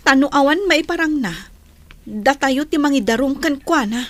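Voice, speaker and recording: Casting: loyal kid sister in radio drama, high voice, Ilocano accent, concerned and earnest. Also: female voice, expressive, normal speed, no room sound. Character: worried, loyal younger sister.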